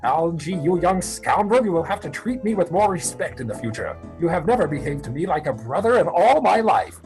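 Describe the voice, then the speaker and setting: A man playing an earnest, excitable young gentleman in a theatrical stage comedy reading. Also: on the high side, fairly quick, soft background music. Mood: elated. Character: earnest, excitable young English gentleman seeking his true parentage. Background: musical score.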